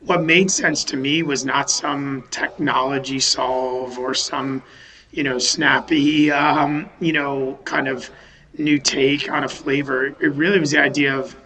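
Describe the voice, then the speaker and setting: Man from western massachusetts, bright crisp voice, halting, video call interview. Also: a little high, poor audio. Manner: thoughtful, restarts sentences, many um and you know. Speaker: company founder, guest. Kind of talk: remote podcast interview. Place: home or office in a city.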